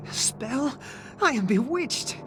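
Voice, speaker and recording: Anxious, high-pitched bewitched figure. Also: animated, slow pace, heavy background noise. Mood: alarmed. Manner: anxious, dramatic. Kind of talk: theatrical video game acting.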